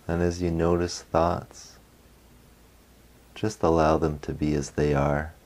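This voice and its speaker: A man leading a guided meditation as a guide, speaking gently in short phrases with long pauses. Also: a bass voice, little pitch movement, poor audio.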